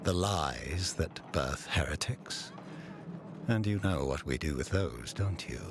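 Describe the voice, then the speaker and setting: An actor playing an imperious, cruel ruler who commands holy inquisitors in a fantasy game, with a grandiose and menacing manner. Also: male voice, a bass voice, animated, takes it slowly, background noise, heavily acted. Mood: quiet menace. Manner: grandiose, theatrical in proclamation, menacing in private. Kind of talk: dramatic fantasy game acting.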